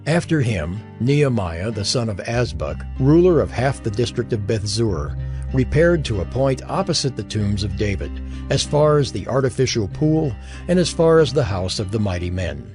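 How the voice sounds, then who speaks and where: A man reads scripture as a dramatic narrator. His mid-pitched voice moves expressively through solemn, measured phrases, with soft music underneath. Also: very animated. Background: background music.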